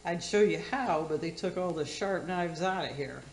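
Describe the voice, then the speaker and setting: A woman's voice: fairly low pitch, normal speed, natural voice. A woman playing a tipsy, bawdy middle-aged auntie who hosts a radio cooking show in a comedy play, rambling and gushing with slurred word slips. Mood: rambling, exasperated. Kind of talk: community theater radio comedy acting. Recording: roomy, echoing space.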